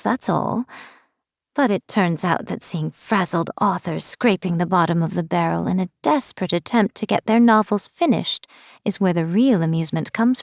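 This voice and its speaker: A woman's voice: mid-range voice, dry recording, clean studio sound, natural voice. A woman voicing a haughty, playful fox-spirit shrine maiden in a video game, with a teasing, self-assured, theatrical delivery. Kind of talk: scripted video game character voice lines.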